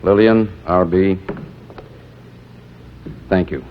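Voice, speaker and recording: A man's voice: low-pitched, very slow. A man addressing an assembly at a ceremony, on a 1970s live recording. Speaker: ceremony speaker. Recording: muffled 1970s live recording, faint noise.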